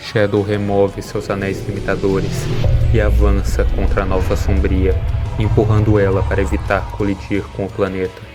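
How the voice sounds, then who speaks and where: A man's voice: fairly low pitch, completely flat delivery, moderate pace. A man with a Brazilian accent delivering scripted narration as the narrator of an analysis video. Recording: music behind the voice. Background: background music.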